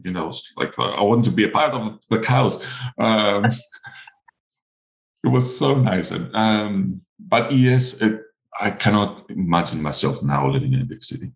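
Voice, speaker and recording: Deep voiced greek guy talking english, lots of ums, excited, roomy echo. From Crete, Greece. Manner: hesitant, enthusiastic, many fillers and restarts. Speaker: guest artist. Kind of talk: casual interview chat.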